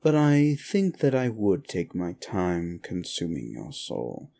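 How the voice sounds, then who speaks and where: Male demon, played by a man, comfort ASMR roleplay: dark, unhurried voice, grudgingly soothing, drily menacing. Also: low voice, huge pitch swings, gravelly, full character voice. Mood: dry, teasing menace. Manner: slow, deliberate, dryly menacing yet soothing. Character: male demon, sinister soul-eater grudgingly soothing a human. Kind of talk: comfort ASMR roleplay, intimate address to the listener.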